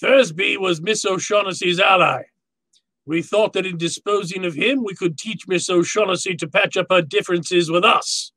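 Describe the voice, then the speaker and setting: An actor playing a jovial, cunning older crime boss in a radio drama, speaking with florid, formal politeness. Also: male voice, sits high, normal speed, pushed and bright, heavily acted. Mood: smooth, explanatory. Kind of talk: old-time radio drama acting.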